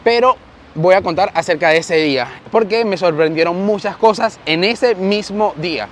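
A young Venezuelan man talks to his camera while he walks outdoors, animated and storytelling, his voice sitting high for a man. A lapel mic keeps him close and clear, and a faint hum of traffic and street noise stays underneath. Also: moderate pace. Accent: Venezuelan Spanish. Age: young adult. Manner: animated, gestures while walking and talking to camera. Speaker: vlogger. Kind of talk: walking vlog storytelling. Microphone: lapel mic on shirt, handheld camera.

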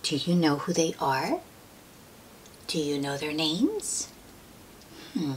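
A woman with a fairly low voice reads a picture book aloud at a very slow pace. She is gentle and expressive, stretching words and putting questions to a young listener. There is noticeable noise behind her. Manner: gentle, drawn-out, expressive, addressing a child. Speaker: children's book read-aloud host.

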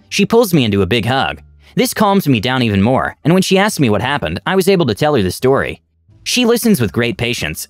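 A male narrator reading forum stories aloud, his voice leaping up and down in pitch from phrase to phrase. The sound is dry and close, with quiet background music running beneath. His delivery is scripted and steady in purpose. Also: no echo. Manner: very lively, swooping intonation. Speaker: narrator reading reddit posts.

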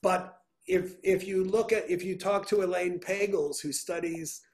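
A man's voice: high-pitched, unhurried. Earnest middle-aged man on a video call. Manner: earnest, animated, many restarts. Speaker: composer. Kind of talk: casual video call conversation. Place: home room with piano. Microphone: video call webcam.

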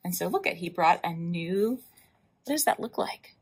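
A woman hosting a library storytime, reading a picture book aloud in an animated way, with emphatic drawn-out words and asides to young listeners. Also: fairly low pitch, breathy edge. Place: indoors, in front of a wall with floral decals.

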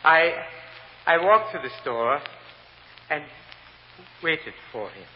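An old radio recording, hissy and swimming in reverb, of an earnest immigrant grocery clerk. He has a Spanish accent and testifies humbly in halting, formal broken English, in a slightly high male voice. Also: expressive, highs cut off. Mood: anxious, sorrowful. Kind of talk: vintage radio drama acting.

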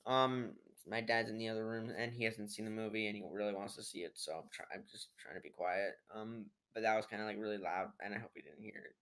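A man's voice: on the low side, steady tone, quick pace, few pauses, fairly bright tone. A teenage boy giving a casual, unscripted movie review in a rambling, hesitant way, recorded at home on a built-in computer microphone. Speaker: amateur video reviewer. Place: living room at home, indoors.

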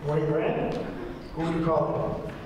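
A man presiding as judge over a student mock trial, speaking in brisk, formal courtroom phrases with a kindly tone toward children. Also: a little high, level tone. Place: large courtroom. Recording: echoey courtroom from far off, noisy.

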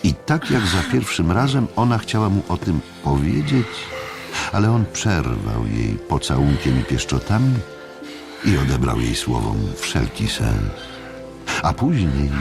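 A male audiobook narrator with a deep, gravelly voice reads literary prose dramatically. His phrasing is deliberate, full of pauses, and his pitch swings very widely.

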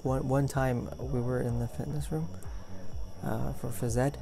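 A young man acting as a caring, goofy boyfriend in an intimate ASMR roleplay, talking in a rambling, halting way. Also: medium pitch, flat delivery, gravelly. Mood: amused, chatty. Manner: rambling, halting, chuckling storyteller. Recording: music playing behind the voice.

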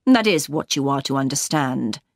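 A woman voicing a bad-tempered, domineering gentleman husband in an audiobook, curt and menacing. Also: extremely low pitch, lively intonation, moderate pace, no echo, clean studio sound, pitched below the performer's own voice. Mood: cold defiance.

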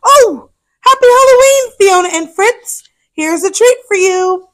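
A woman's voice: very high-pitched, expressive, unhurried, pitched above the performer's own voice. A woman voicing a firm, protective mother armadillo in a children's storybook, with a motherly manner. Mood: cheerful.

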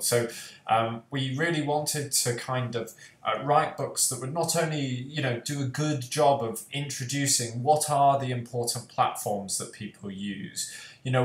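A young man hosting an online panel on a video call, speaking earnestly with many fillers. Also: medium pitch. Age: young adult. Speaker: host and author. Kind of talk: panel discussion. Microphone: headset on video call. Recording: roomy echo.

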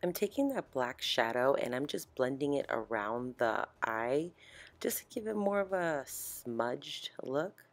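A young woman with a deep, slightly hoarse, allergy-congested voice, chatting informally through a casual makeup tutorial. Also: lively intonation, unhurried. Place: small home art studio, indoors. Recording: roomy echo, music behind.

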